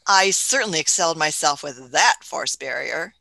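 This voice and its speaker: Woman playing eccentric elderly lady adventurer, bright voice, smug, fussy, muttering, radio play, close dry sound. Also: sits low, expressive, normal speed, creaky, no room sound, full character voice. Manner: hesitant, muttering, chuckling old-fashioned speech. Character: elderly eccentric female time traveler, smug, fussy, affectionate.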